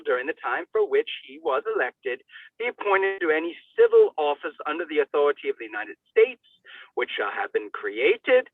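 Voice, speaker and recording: A man's voice: on the high side, no echo. A man giving a declamatory dramatic reading of a formal document, stumbling over words and rereading them, in a tinny, narrow recording. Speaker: reader of a public document. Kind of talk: theatrical dramatic reading aloud. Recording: tinny, phone-like narrow band sound.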